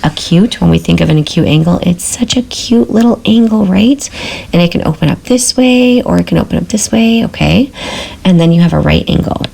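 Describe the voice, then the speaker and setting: A woman teacher giving a recorded math lesson in a hoarse voice from a sore throat, patiently checking in with her students with a frequent "okay?". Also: lowish voice, brisk, dark tone, rough recording.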